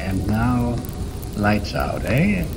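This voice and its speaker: An actor playing a teasing, slangy American husband in a 1940s radio drama, coaxing and jokey. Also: male voice, lowish voice, huge pitch swings, very slow, music in the background, exaggerated voice. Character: teasing, wisecracking husband, a police reporter. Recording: noisy vintage radio recording, rain ambience. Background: rain and fireside ambience.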